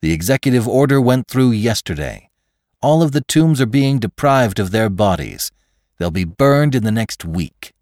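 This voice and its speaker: A man's voice: medium pitch, sing-song, normal speed, darkish tone, dry recording, studio quality, pitched above the performer's own voice, lightly acted. A man voicing a logical, reasonable man in a storybook reading, arguing insistently and articulately for his life. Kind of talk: dramatic storybook narration.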